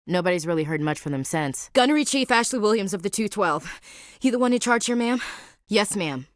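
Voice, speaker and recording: A woman's voice: medium pitch, lively intonation, a little fast, no echo, clean studio sound, natural voice. An actress playing a tough, blunt young female marine in a video game, speaking in a direct, clipped soldierly manner. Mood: urgent. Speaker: game character.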